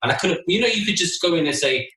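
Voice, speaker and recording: Bright-voiced man in an echoey room. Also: sits high, lively intonation, fast talker. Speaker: podcast co-host. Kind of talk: casual argumentative chat. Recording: roomy, echoey room sound.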